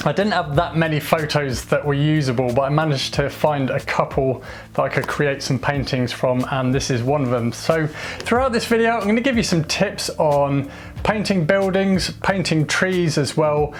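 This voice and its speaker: A man from England narrating a painting tutorial in a friendly, explanatory way. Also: on the high side.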